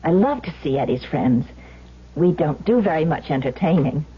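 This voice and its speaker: Very husky, gracious radio-drama housewife. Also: female voice, deep voice, expressive, normal speed, exaggerated voice. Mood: gracious, eager. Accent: American. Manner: gracious, brightly brave, polite. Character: gracious, plucky housewife in a wheelchair, eager for company. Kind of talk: 1970s radio drama acting. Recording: muffled old radio broadcast recording.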